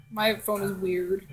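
A woman's voice: mid-range voice, husky. A young woman vlogger chatting casually and reading fan fiction in a rambling, giggly way. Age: young adult. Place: living room indoors.

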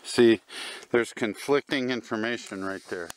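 An older man giving casual, halting, musing commentary while hiking outdoors, recorded on a handheld camera. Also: mid-range voice, low-quality recording. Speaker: hiker narrating a trail video. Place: outdoors on an open hillside trail.

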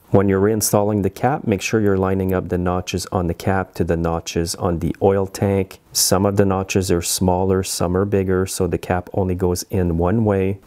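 Low-voiced mechanic explaining a repair. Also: male voice, mellow. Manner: plain, practical, matter-of-fact explaining. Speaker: small engine repair mechanic. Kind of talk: how-to repair tutorial. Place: small engine repair shop, indoors.

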